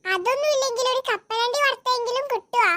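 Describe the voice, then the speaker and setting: A performer voicing a naive, chatty, food-obsessed little boy in a comedy cartoon, with a childlike squeaky voice and eager questions. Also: fast talker, bright, forceful tone, slight background noise. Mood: eager, curious. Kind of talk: Malayalam comedy cartoon dialogue.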